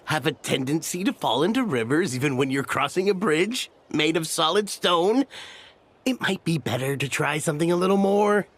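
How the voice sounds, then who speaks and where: A man's voice: high voice, a bit bright, exaggerated voice. A man voicing a polite, cautious resort staffer in a video game, formal and tactful.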